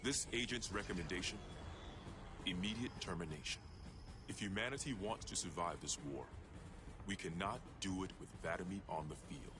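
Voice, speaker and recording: A man's voice: sits low, moderate pace, noisy background, close to an everyday voice. A man playing a grave military intelligence investigator, reading out a formal, clipped report in a video game cutscene. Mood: grave, urgent. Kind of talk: scripted dramatic briefing narration. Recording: music behind the voice.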